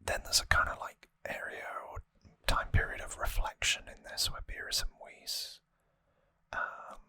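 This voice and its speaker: ASMR host: unvoiced, sibilant-bright whisper, casual rambling delivery, very dry close-mic sound. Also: no room sound, rough recording. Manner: hushed, relaxed, rambling casual explanation. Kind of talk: whispered ASMR trivia recap. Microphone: close microphone.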